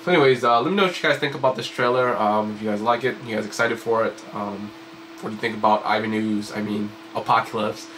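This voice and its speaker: A man's voice: fairly low pitch, quick pace, a little hiss or hum, rough recording. A young man in his twenties casually reacting to a trailer in a small home room, rambling with lots of fillers and sounding slightly sick. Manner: rambling, filler-heavy, excitable. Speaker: reaction video host. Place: small home room with computer desk, indoors.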